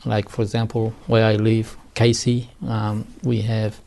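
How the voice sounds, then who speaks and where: A middle-aged man, answering interview questions in halting speech full of ums. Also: fairly low pitch, completely flat delivery, gravelly, mellow. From East Timor. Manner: halting, many ums, non-native grammar. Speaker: community guest.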